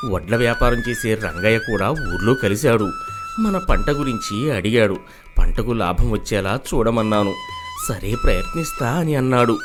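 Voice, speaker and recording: A man's voice: on the low side, very animated, normal speed, over music. A man acting a poor, weary village farmer in a moral story, humble, pleading and sorrowful. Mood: anxious.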